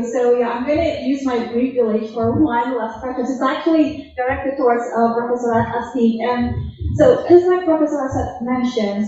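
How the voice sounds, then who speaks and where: A woman's voice: on the high side, warm tone. A woman from Indonesia moderating an academic panel, putting a question to a speaker in an informal way. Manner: informal, uses "like" and "right?". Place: function hall. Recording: echoey hall from far off, dull sound.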